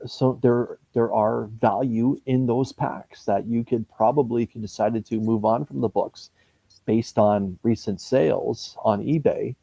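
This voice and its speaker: A Canadian man in his fifties, a comic book YouTuber talking casually in an interview, pausing often with fillers and restarts. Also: lowish voice, gravelly, dark tone, dry recording, low-quality recording. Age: 50s. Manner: hesitant, many fillers and restarts.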